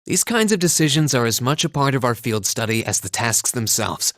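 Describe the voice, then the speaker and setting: Guy doing earnest thoughtful student from a game, medium pitch, measured, no room sound. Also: expressive, fairly bright tone, no echo, clean studio sound, lightly acted. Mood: serious, realizing. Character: serious, thoughtful young male student. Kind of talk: English video game voice acting.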